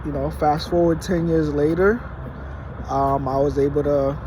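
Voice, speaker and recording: A man's voice: fairly high pitch, fairly even intonation, unhurried. A man in his late twenties, born in Haiti, talking casually to his phone in a vlog with many fillers and restarts. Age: late 20s. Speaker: vlogger. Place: parking lot at night, outdoors. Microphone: phone propped against a wall. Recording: noisy outdoor phone recording. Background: traffic and car noise.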